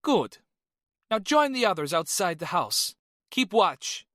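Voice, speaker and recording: A man voicing a cold, ruthless young woman operative in an audiobook, calm and commanding. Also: sits high, slow, dry recording, clean studio sound, voice pushed up, full character voice. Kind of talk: audiobook character voice.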